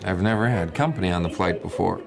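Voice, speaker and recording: A man's voice: low-pitched, fast talker. A man acting a cool, menacing secret agent with a sentimental streak in a radio drama, terse and dry. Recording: clear background noise.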